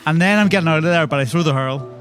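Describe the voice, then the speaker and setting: A man playing a tabletop game, describing his character's actions in a casual, comic and animated way. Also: on the high side, racing. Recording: music behind the voice.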